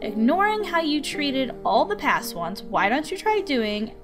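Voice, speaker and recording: A woman's voice: sits high, sing-song, a little slow. A woman voicing an exasperated, dutiful guardian in a visual novel, dry and scolding. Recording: music behind the voice.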